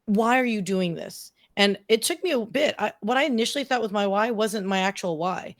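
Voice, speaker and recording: A woman's voice: mid-range voice, a little fast. A middle-aged woman speaking casually as a podcast guest, enthusiastic, emphatic and chatty, often checking in with the listener. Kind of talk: casual conversational interview.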